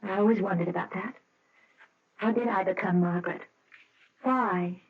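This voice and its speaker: A woman's voice: medium pitch, normal speed, husky. A middle-aged woman playing an anxious, wistful wife in a radio mystery drama, hesitant and emotional, often breaking her phrases. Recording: muffled, dull old radio recording.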